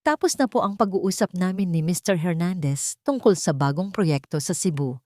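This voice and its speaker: Professional female assistant voiced by a woman narrator, calm and respectful, radio drama, close dry recording. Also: lowish voice, moderate pace, no room sound, close to an everyday voice. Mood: polite, careful.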